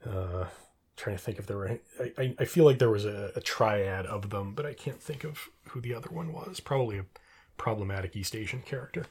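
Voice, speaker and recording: A middle-aged man in a casual trivia discussion, rambling and thinking out loud as he talks. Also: fairly low pitch, fairly quick, breathy edge. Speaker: podcast co-host.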